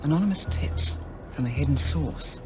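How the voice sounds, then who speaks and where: A woman playing a cool, composed and enigmatic agency handler in a video game cutscene, with deliberate, dramatic phrasing. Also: deep voice, animated. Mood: cool, grave. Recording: loud music under the voice. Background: loud score music.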